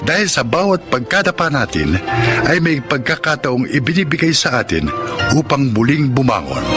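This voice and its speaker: A Filipino man announces for a radio drama in dramatic, scripted phrases. His mid-pitched voice swings widely in intonation over loud music. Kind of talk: scripted radio narration and credits.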